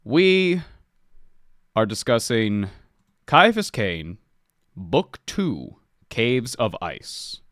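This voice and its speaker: A man hosting a book club podcast in casual chat, a little sniffly, talking in an animated, expressive way. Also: mid-range voice, extremely slow, long pauses, dry recording, clean studio sound. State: slightly sniffly.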